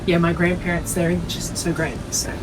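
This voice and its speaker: A woman's voice: on the low side, little pitch movement, normal speed. Young Australian woman, noisy recording. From Iceland, raised in Australia from age three. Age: young adult. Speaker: netball player being interviewed. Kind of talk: casual interview chat.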